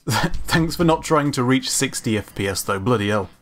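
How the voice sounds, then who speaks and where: A man giving scripted analytical commentary as a video narrator, in an informal, measured, opinionated way. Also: medium pitch, lively intonation, a bit bright. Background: background music.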